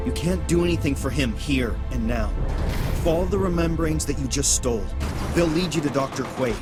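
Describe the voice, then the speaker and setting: A man playing a cryptic, commanding rebel mentor in a video game, composed and measured as he gives instruction. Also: a little high, close to an everyday voice. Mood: urging. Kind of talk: dramatic video game acting. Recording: music over the voice.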